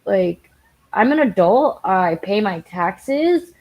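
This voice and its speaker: A woman speaks as a guest in an interview, a member of a victim's family. She talks in an animated, exasperated way at a middle pitch. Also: slow, muffled recording. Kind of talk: casual interview talk.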